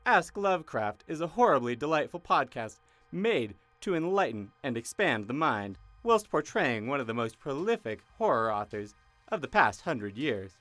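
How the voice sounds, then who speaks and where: A man's voice: medium pitch, fairly bright tone, dry recording. A man playing a pompous, ominous announcer in a scripted horror podcast advert. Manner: theatrical, pompous. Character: grandiose, ominous male promo announcer of cosmic horror.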